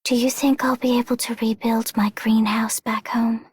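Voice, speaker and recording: A gentle, wistful young video game character. The voice is fairly high, soft and breathy, tender and earnest, recorded dry and close. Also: female voice, level tone, moderate pace, no echo. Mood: wistful, hopeful.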